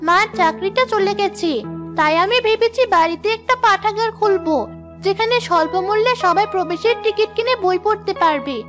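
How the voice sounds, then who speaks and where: A woman's voice: very high voice, brisk. A woman voicing an earnest, caring young girl, a big sister in a children's cartoon. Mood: earnest, concerned. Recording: music behind the voice. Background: music.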